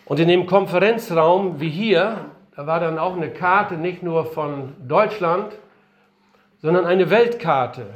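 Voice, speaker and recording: Older guy preaching in a conference room, voice a bit worn from feeling sick, fairly high pitch, keeps asking questions. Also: slow pace, highs cut off. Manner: earnest, emphatic, rhetorical questions. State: slightly unwell, voice strained. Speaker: missionary speaker. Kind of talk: lecture-style sermon.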